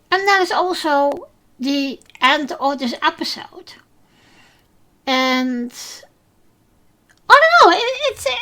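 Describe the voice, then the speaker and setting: A woman's voice: very high-pitched, fairly bright tone. A woman with a Dutch accent, a native Dutch speaker, hosting a solo podcast that recaps episodes, talking with many fillers and restarts. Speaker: podcast host.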